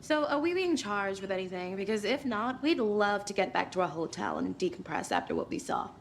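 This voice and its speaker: A sardonic female writer in a video game drama. Her mid-pitched voice swings animatedly, glib and a little defensive, with faint ambient noise behind it. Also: very animated, fairly quick. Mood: wry, defensive. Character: wry, defensive female true-crime writer. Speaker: true crime writer, witness. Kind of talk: game cutscene drama acting. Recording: noisy game scene ambience.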